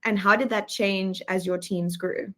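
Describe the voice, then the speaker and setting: A woman hosting a live Q&A, asking questions and affirming her guest with soft murmurs. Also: mid-range voice, steady tone, a little slow. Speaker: interview host. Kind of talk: live Q&A interview.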